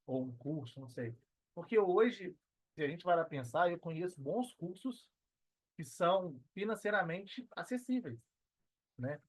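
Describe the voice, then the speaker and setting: Slightly high, dry-sounding Brazilian man, reflective. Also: lively intonation, dry recording. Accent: Brazilian Portuguese. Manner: halting, reflective, frequent tag questions. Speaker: podcast guest, affiliate marketer. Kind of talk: casual interview answer.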